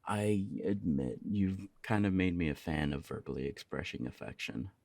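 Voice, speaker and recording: Man acting a low, creaky, affectionate punk roommate. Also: lively intonation, normal speed, darkish tone, dry recording. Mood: fond. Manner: casual, teasing, chatty. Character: easygoing, affectionate young punk roommate with a crush. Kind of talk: intimate ASMR roleplay, one side of a conversation.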